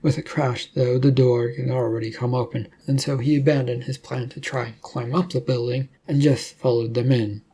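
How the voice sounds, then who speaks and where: Slightly husky man narrating a story. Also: mid-range voice, fairly even intonation, moderate pace, few pauses, dark tone, poor audio.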